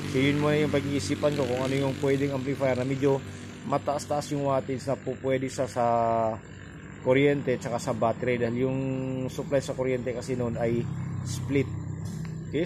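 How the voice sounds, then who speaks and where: A man with a Filipino accent giving a casual, halting, explanatory repair walkthrough as a technician hosting his own video. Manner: halting, explanatory, with frequent check-in tags. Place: repair spot beside a highway. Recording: noisy highway traffic behind voice. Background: loud vehicle and traffic noise.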